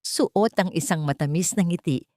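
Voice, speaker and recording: A woman's voice: fairly low pitch, moderate pace, a little mellow, no echo, studio quality. A woman reading a dramatic romance story as a scripted narration.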